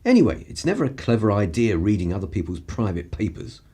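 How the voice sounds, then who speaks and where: A man's voice: fairly low pitch, big pitch swings, quick pace, mellow, breathy edge. A man with an upper-class English accent playing an urbane, wry 1930s journalist in a radio play, languid and drily superior. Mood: defensive, cool. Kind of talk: period radio play acting.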